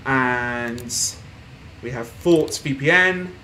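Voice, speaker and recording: A young man casually commenting on a desktop game as he plays, reading posts aloud and laughing softly, recorded at home with faint background noise. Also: medium pitch, extremely slow. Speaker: gaming streamer. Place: small room at home, indoors.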